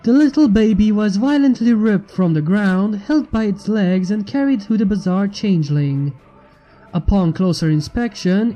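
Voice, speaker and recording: A man's voice: high-pitched, warm tone, dull audio. A man reading a fan fiction story aloud as scripted narration. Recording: music bed behind the narration.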